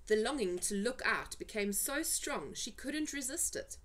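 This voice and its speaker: A woman's voice: fairly high pitch, lively intonation, a little slow, a bit bright. A woman reading a children's story aloud, with dramatic, suspenseful delivery and emphasized words. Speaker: amateur storyteller reading for family. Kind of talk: expressive children's storybook narration.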